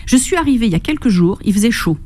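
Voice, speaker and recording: Middle-aged woman from Corsica, radio guest, mellow warm tone, bubbly and chatty. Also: a little high, very fast. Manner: enthusiastic, laughs often, animated storytelling with quoted voices. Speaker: fitness and nutrition coach, guest. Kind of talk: radio interview. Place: radio studio.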